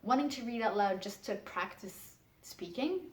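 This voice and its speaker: A young woman, a book vlogger chatting frankly to camera and keeping her voice in a low register. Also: animated, moderate pace, darkish tone, reverberant, slight background noise. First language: Dutch. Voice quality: speaks in a low register in English. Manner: chatty, frank, laughs often, emphatic refusals. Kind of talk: casual answers to a tag video, talking to camera. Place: room at home.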